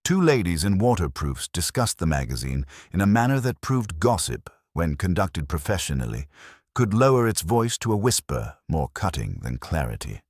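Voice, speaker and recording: Male solo narrator for detective drama: bass-deep, husky, dryly witty and formal, expressive intonation. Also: no echo. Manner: measured, dry, wry, formal delivery. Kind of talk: scripted literary narration of a mystery drama.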